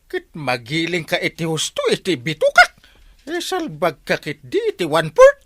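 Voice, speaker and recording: Wildly swooping, blustering village captain. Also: male voice, on the high side, huge pitch swings, moderate pace, bright, forceful tone, dry recording, exaggerated voice. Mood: startled, blustering. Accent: Ilocano. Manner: exclamatory, blustering, sputtering. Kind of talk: Ilocano comedy radio drama acting.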